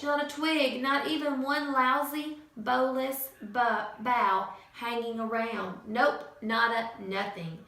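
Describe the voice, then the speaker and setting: Woman teacher, picture-book read-aloud, sing-song, slow, gravelly, far from mic, very echoey. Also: on the high side. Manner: expressive, sing-song storytelling.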